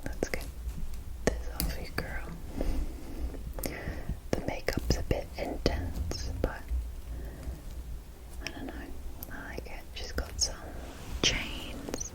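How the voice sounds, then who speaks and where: A woman whispering as an ASMR host, rambling softly in short admiring remarks during a show-and-tell. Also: takes it slowly, long pauses, rough recording.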